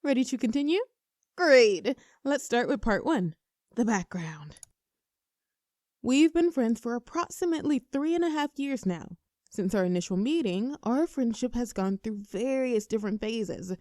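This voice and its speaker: A woman's voice: on the high side, lively intonation, moderate pace, a little mellow, no room sound, studio quality, lightly acted. A woman acting a nervous, earnest best friend in a roleplay audio, addressing the listener like a mock-formal presenter. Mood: earnest, careful. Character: nervous, earnest young female best friend confessing a crush.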